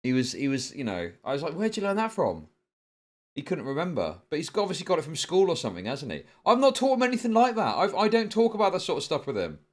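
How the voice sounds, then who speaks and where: A middle-aged man with a British accent hosting a livestream, chatting casually and telling stories in a rambling, sweary, animated way. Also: on the high side, fast. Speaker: livestream host. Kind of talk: casual chat to a livestream audience.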